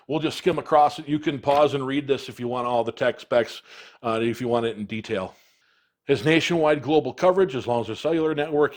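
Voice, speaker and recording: Casting: American male product reviewer, mid-pitched, plain-spoken explainer style with emphasis on key words.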